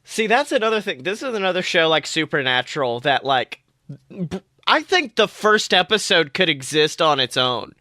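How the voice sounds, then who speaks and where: A woman co-hosting a podcast, bantering casually about a TV show with excitable, dramatic emphasis. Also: fairly low pitch, moderate pace, no room sound, studio quality. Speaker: podcast co-host.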